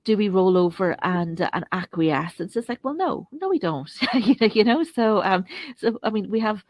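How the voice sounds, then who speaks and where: A woman hosting a conversational interview, speaking in a halting, circling way and repeating phrases as she works toward her point. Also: medium pitch, expressive, darkish tone, no echo. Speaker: interview host.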